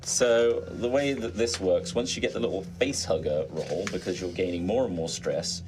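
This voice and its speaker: Deep-voiced man running a tabletop game. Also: normal speed, background noise. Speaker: game master. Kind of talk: narrating a tabletop roleplaying game. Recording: background music under the voice.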